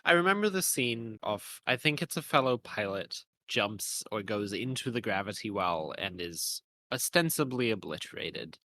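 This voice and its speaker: A man's voice: on the low side, lively intonation, normal speed, few pauses, sharp tone, no echo. A man co-hosting a podcast, discussing a video game with analytical, carefully built phrasing. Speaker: podcast co-host.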